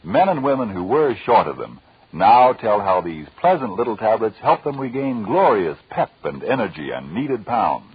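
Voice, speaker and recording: A man announcing in a grave, deliberate, dramatic 1940s radio style. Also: lowish voice, big pitch swings, slightly rough, no room sound. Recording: old muffled radio recording.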